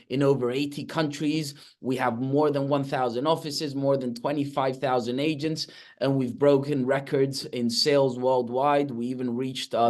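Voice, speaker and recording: A man from Spain with a Spanish accent, hosting an interview casually with lots of fillers and restarts. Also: mid-range voice. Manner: enthusiastic, many fillers and restarts. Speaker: podcast host, real estate agent. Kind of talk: casual video-call interview.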